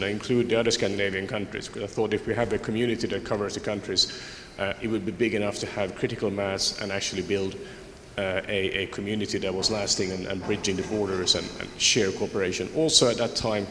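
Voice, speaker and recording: A man's voice: on the low side, fairly bright tone. A man from Finland, a conference panelist, reflecting on his career with frequent restarts. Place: large conference hall. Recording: roomy hall with background noise.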